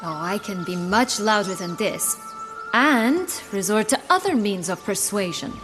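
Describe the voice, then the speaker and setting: An actress playing a sly, haughty young duchess in a fairy-tale video game, wry and commanding. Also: female voice, mid-range voice, lively intonation, a little slow, close to an everyday voice. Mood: threatening. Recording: music behind the voice.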